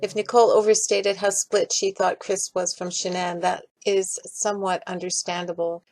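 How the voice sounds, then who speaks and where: A woman's voice: mid-range voice, flat delivery, normal speed, a little mellow, dry recording. A woman reading a scripted, critical commentary as a voice-over. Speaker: video commentator. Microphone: voice-over recorded in separate snippets.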